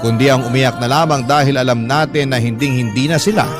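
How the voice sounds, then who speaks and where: A man's voice: mid-range voice, fairly quick, close to an everyday voice. A man acting as a sorrowful letter writer, narrating a radio drama in an emotive, heartfelt way. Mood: grieving. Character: sorrowful letter writer recounting a love story. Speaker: story narrator reading a letter. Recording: music bed clearly behind narration.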